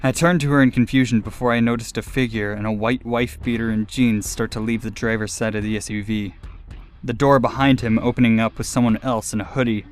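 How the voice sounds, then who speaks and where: A man's voice: mid-range voice, steady tone, brisk, clear, resonant tone, soft background music. A man narrating a personal scary story as a scripted dramatic reading.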